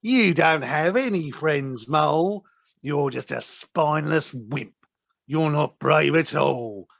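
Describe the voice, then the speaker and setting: Slow, sly boss weasel villain, acted out. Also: mid-range voice, gravelly, no echo. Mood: angry bullying. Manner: menacing, sneering, drawling with sudden snaps. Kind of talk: children's audio play acting. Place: living room at home, recorded over video call.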